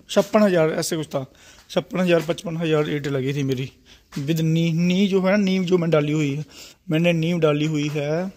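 A man's voice: a little high, darkish tone. A man with a Punjabi-tinged Hindi accent walking through his poultry shed, explaining in a plain, repetitive way and speaking straight to the viewer, recorded on a phone he carries. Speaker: poultry farmer giving a shed tour. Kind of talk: casual walk-through explanation. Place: large open-sided poultry shed under construction. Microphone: phone camera held while walking.

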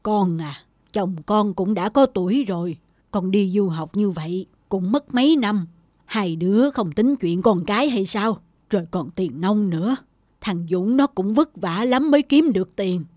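A woman voicing a worried, suspicious elderly mother-in-law with a reproachful manner in an animated drama. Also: medium pitch, measured pace, no room sound, clean studio sound. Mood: disapproving. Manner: fretful, reproachful. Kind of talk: animated moral drama voice acting.

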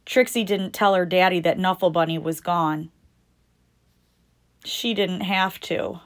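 A woman reading a picture book aloud as a storytime host, deliberate and expressive for young children. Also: lowish voice. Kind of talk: scripted picture-book narration read aloud.

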